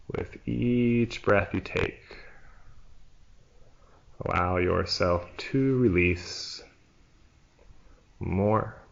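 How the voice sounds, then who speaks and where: Very slow, low-voiced man guiding relaxation. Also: creaky. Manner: hushed, soothing, with long pauses between short phrases. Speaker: yoga nidra teacher. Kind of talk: slow guided relaxation meditation. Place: church room, live class. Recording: dull, muffled live recording.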